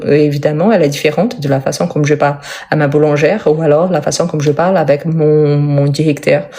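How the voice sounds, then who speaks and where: A Brazilian-born woman whose first language is Portuguese, teaching French in a live online lesson, speaking slowly and simply and often repeating words for emphasis. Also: sits low, darkish tone. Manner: slow, careful, simple speech for learners.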